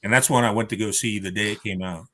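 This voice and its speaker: A man on a discussion panel, chatting casually about films in a measured, reflective manner. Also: fairly low pitch, fairly even intonation, bright, forceful tone. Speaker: roundtable panelist.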